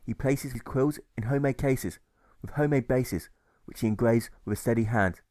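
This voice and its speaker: A man narrates a rhyming tale in a middle-pitched voice. The delivery is rhythmic and measured by the verse, like a storybook reading. The sound is close and dry, with no room around it. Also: a little mellow, no room sound. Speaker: author reading own audiobook.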